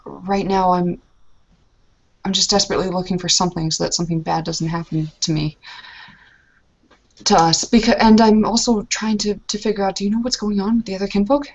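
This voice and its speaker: Worried woman roleplayer, lower register, rambling, recorded lo-fi. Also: gravelly. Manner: anxious, rambling, many restarts. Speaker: player in a tabletop roleplay. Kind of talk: in-character roleplay conversation. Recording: lo-fi muffled recording.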